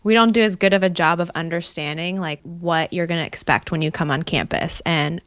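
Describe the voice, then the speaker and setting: A woman's voice: fairly low pitch, brisk, fairly bright tone, dry recording. A woman interviewing a fellow student, friendly and encouraging, often laughing lightly.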